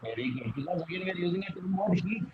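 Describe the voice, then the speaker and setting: A male physics tutor, his voice a touch high for a man. He repeats key phrases and keeps asking if his student follows. The call audio is muffled and lo-fi with a faint hiss behind it. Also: expressive, very fast. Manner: patient, repeats key phrases, checks understanding with "isn't it?". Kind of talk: one-on-one tutoring session.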